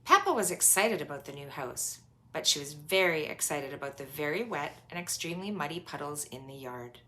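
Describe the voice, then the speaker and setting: A woman reading a children's storybook aloud in an expressive, emphatic way, drawing out words for young listeners. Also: sits low, fairly quick.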